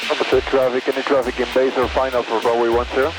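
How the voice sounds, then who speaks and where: A man's voice: mid-range voice, flat delivery, quick pace, over loud music, rough recording. A man giving clipped aviation phraseology over a radio transmission. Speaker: air traffic radio operator. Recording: radio transmission under loud aircraft noise.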